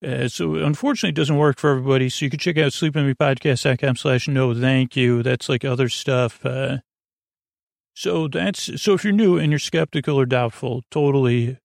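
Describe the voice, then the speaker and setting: Gravelly man rambling haltingly, close and dry. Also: medium pitch, dry recording. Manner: meandering, halting, stammering, self-interrupting tangents. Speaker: podcast host. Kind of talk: rambling sleep podcast monologue.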